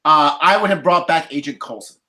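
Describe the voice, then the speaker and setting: A woman's voice: low-pitched, a little fast, bright, forceful tone. A woman chatting casually as a panelist in a movie discussion. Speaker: podcast panelist. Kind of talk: casual chat, movie review.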